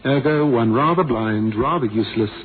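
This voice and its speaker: An actor playing a blind former pilot, theatrical and bitterly witty, with a wry, wordy, ironic manner, in a radio drama. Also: male voice, mid-range voice, normal speed, lightly acted. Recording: noisy, thin phone-like old radio recording.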